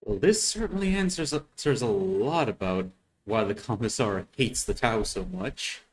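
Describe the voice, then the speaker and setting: A man's voice: fairly low pitch, expressive, moderate pace, low-quality recording. A man speaking casually as he comments in reaction to an audio drama. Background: music from the played drama.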